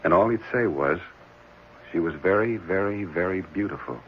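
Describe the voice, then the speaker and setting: An actor playing a gentle, earnest married foster father in a 1970s radio drama, speaking hesitantly and reassuringly. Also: low voice, animated, normal speed. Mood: pensive. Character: gentle, caring married man fostering an orphan boy. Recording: muffled, noisy old radio broadcast.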